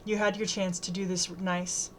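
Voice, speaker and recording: A woman's voice: fairly low pitch, little pitch movement, normal speed, slight background noise, lightly acted. A woman voicing a menacing, entitled older teenage boy in an audiobook, insistent and self-assured. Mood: cold, insistent. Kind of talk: audiobook character dialogue.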